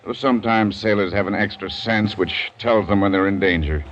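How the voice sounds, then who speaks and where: An actor playing a thoughtful, uneasy gentleman hunter with a hesitant, musing manner in 1940s radio style. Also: on the low side, fairly even intonation, moderate pace, close to an everyday voice. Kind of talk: 1940s radio drama acting. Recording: dull, noisy old radio recording.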